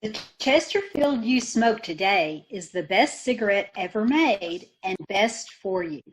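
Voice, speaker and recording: A woman's voice: mid-range voice, animated, unhurried, a little hiss or hum, close to an everyday voice, low-quality recording. A woman reading a vintage radio commercial in an earnest, persuasive manner. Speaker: commercial spokesperson.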